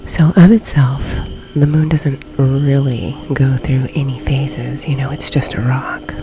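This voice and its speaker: A woman leading a guided meditation in a soft, breathy, often near-whispered ASMR delivery, with long pauses between phrases. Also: extremely low pitch, steady tone. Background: background music.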